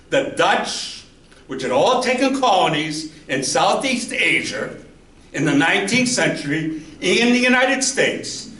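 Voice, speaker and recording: A man's voice: high-pitched, fairly bright tone. A man, a history professor, giving a chatty public lecture in a library meeting room, asking the audience questions now and then. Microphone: handheld mic, heard from afar. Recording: echoey room, voice from far off.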